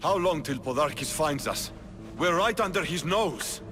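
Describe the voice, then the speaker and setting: An actor playing a brash, hot-blooded Spartan general in a video game, speaking in a blunt, martial way. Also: male voice, on the high side, expressive, a little slow, bright, forceful tone, faint music behind. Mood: alert. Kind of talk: dramatic video game cutscene acting.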